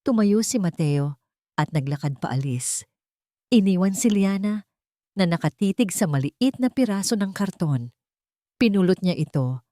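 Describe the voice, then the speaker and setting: A woman reading scripted dramatic fiction as a storyteller, in a measured, dramatic manner. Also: medium pitch, expressive, mellow, dry recording, clean studio sound. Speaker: story narrator.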